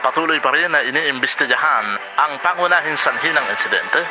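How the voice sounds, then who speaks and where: A man's voice: on the high side, brisk, music in the background, close to an everyday voice. Man acting a formal Filipino news anchor. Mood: formal, serious. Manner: formal, serious announcing. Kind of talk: scripted news bulletin reading.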